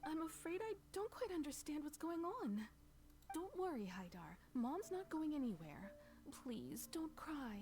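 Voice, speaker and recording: A woman playing a gentle, polite young mother in a video game, with a tender, courteous way of speaking. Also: high-pitched, sing-song, unhurried. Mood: soothing. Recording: music behind the voice.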